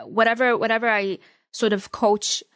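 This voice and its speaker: A woman whose first language is Arabic or French talks through her work as a newsletter writer in a casual interview. She sounds animated and laughs easily, and her voice is recorded dry and close with almost no room sound. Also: fairly high pitch, moderate pace, clear, resonant tone, no room sound.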